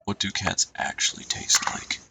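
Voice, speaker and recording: A man streaming, chatting casually and reading posts aloud in a dry, monotone way. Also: moderate pace. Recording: noisy home stream recording.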